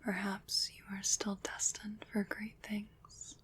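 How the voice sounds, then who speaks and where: A woman playing a gentle, consoling witch in an ASMR roleplay, whispering breathily in a slow, soothing, deliberate way. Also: mid-range voice, completely flat delivery. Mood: tender reassurance.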